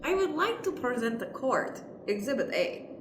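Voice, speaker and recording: A woman playing an earnest, halting female defense lawyer with formal phrasing in an improvised radio play. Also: a little high, sing-song. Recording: roomy echo, faint background noise.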